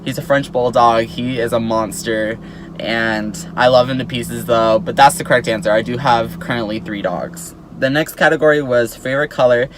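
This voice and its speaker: An eighteen-year-old guy talks straight to his followers in a chatty vlog, his voice sitting at an ordinary middle pitch. He fills his sentences with "like" and "um", and a faint hum of background noise runs underneath. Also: moderate pace. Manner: chatty, peppered with "like" and "um". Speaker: YouTuber. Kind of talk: casual vlog chat to camera.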